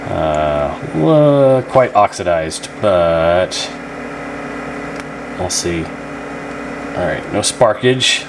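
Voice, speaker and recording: Man, very low pitch, casual repair host, thinking aloud, loose and rambling, clearly audible background hum. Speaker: host of a radio repair channel. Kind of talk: casual hands-on repair narration.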